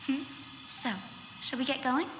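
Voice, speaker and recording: A woman playing a gentle, earnest young healer in a video game, speaking politely and reverently. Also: sits high, steady tone, measured pace, soft background music. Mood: calm, composed. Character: earnest, gentle young female healer, sky-folk descendant. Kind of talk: dramatic video game voice acting. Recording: echoey hall reverb, faint ambient noise.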